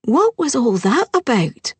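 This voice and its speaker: A woman's voice: high-pitched, big pitch swings, unhurried, slightly rough, no echo, distant mic, pitched above the performer's own voice, exaggerated voice. A woman voicing an anxious, earnest young bride-to-be who sounds close to tears. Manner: earnest and emotional.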